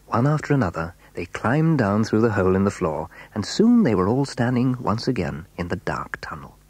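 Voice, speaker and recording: A man narrating a children's story in a dramatic, expressive, suspenseful way, with a husky, breathy voice. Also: fairly low pitch, dry recording. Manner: expressive, suspenseful, building excitement.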